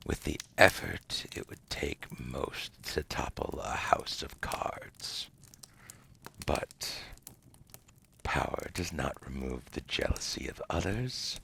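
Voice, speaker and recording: Man voicing a very deep, gravelly whispering demon. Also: pushed and bright, full character voice. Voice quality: breathy whisper mixed with deep gravelly murmur. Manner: slow, deliberate, theatrical, formal phrasing. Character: seductive ancient male demon dealmaker, smug, silkily menacing. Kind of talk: ASMR roleplay, dramatic whispered monologue.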